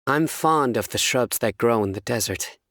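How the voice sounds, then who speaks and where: An actor playing a solemn, stern young enforcer of justice in a video game, speaking in a measured, formal, deadpan way. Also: male voice, medium pitch, no echo, studio quality, natural voice. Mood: solemn, reflective. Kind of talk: scripted video game character voice lines.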